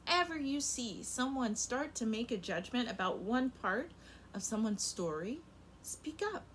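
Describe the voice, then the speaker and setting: A young woman teacher reading a picture book aloud to kindergarten children, very expressive, her loudness rising and falling sharply. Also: a little high, measured pace, a little hiss or hum. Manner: expressive and animated, with big swings in loudness. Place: home room in front of a rainbow feather backdrop.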